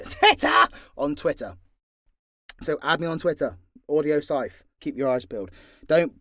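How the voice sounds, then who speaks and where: A man's voice: on the high side, dry recording, clean studio sound. A man with a British accent answering questions in a casual, unscripted way, blunt, sweary and informal. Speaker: audio creator and voice actor.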